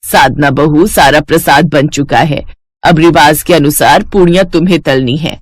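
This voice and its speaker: A woman playing a kind older mother-in-law who speaks gently and gives household instructions. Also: low voice, normal speed, dry recording. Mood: instructing.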